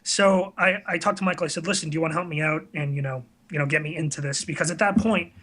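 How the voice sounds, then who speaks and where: A man's voice: on the high side, quick pace, bright, forceful tone. A man talking casually as a podcast guest, telling candid, self-deprecating stories in a conversational way. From US tri-state area. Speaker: podcast guest, online video creator.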